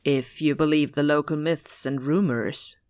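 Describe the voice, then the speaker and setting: A woman's voice: deep voice, steady tone, unhurried, a little mellow, no echo. A woman playing a cool, pragmatic villain in a fantasy audio drama. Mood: matter-of-fact.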